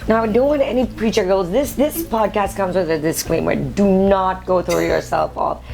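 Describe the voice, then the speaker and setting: A woman hosting a casual interview podcast, speaking in a playful, teasing, expressive way. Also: mid-range voice, normal speed, creaky, faint music behind. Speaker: podcast host; preacher.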